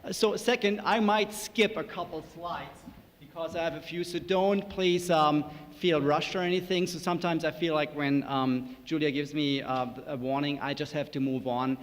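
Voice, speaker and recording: A man's voice: on the high side, fairly even intonation, moderate pace. A man from Germany with a German accent, speaking as a panel lecturer with joking, self-deprecating asides and many fillers. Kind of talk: public lecture talk. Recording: reverberant hall, faint noise.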